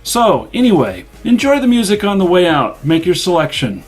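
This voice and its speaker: A man hosting a casual live show, rambling and filling pauses with ums and uhs, blunt and plain-spoken. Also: high voice, sing-song, faint music behind. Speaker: show host.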